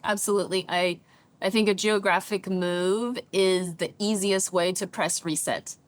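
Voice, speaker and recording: A woman's voice: medium pitch, unhurried, no echo. A middle-aged woman from China with a slightly American-leaning English accent, a therapist and coach speaking thoughtfully and animatedly as an interview guest. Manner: thoughtful, animated, often checks in with "right?". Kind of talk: reflective interview conversation.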